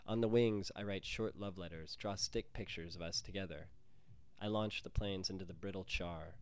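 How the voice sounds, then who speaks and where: Male author-reader, low register, scripted short fiction narration. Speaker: author reading own short story.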